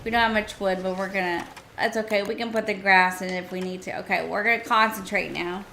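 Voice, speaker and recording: A woman streaming a survival game, chatting casually and thinking aloud to her viewers, her voice a little hoarse. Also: mid-range voice, fairly even intonation, brisk, pushed and bright, a little hiss or hum. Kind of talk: casual gameplay commentary.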